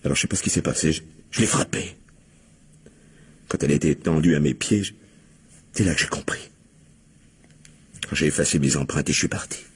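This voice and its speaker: A distraught killer in an old radio crime drama. His voice is extremely low and badly husked. He confesses haltingly, pleading to be believed. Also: husky, full character voice. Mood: shaken, halting.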